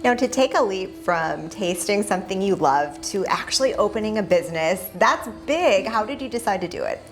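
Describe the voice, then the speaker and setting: A woman hosts a local TV segment, putting friendly questions to guests. Her voice is mid-pitched, with music audible behind it. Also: lively intonation, moderate pace. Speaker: local TV show interviewer. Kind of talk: friendly broadcast interview questions.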